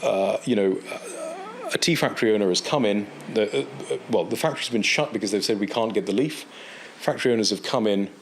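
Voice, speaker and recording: A man's voice: on the low side, fairly quick, slight background noise. A man in his forties, a guest in a casual interview, talking in a hesitant way with many fillers and repeated words. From England. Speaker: interview guest, tea company director. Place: indoor interview set in East London.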